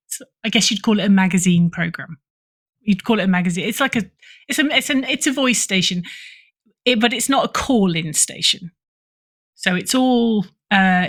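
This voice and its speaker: British woman, middle-aged, mid-pitched, chatty podcast guest who rephrases as she goes. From United Kingdom. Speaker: podcast guest, fiction editor. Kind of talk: casual interview chat.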